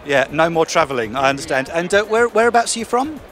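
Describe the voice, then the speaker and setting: A man reporting and interviewing fans at a convention in London, chatty and brisk, on a handheld mic. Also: on the high side, lively intonation, fairly bright tone. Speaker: roving reporter. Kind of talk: convention vox pops.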